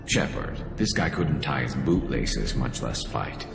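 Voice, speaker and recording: Very deep, gravelly, sardonic squadmate. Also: male voice, a little slow, exaggerated voice. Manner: deadpan, sardonic. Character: gruff, dry, scornful mercenary squadmate. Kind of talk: video game character acting. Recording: echoey hall under loud music.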